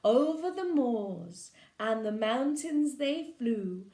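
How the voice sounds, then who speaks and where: Brief: storyteller woman, high and lively, unhurried, echoey room. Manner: animated, lively expression. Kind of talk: reading a children's picture book aloud.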